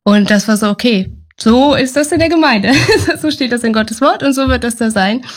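A woman's voice: a little high, moderate pace. Woman, chatty podcast guest, loose and friendly pacing. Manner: chatty, many fillers, light laughs. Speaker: ministry team member. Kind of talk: podcast interview.

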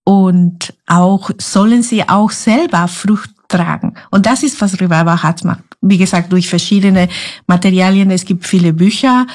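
A woman's voice: lowish voice, darkish tone. Woman, earnest and searching. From Guatemala. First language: Spanish. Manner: earnest, searching for German words. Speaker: ministry guest. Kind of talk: podcast interview.

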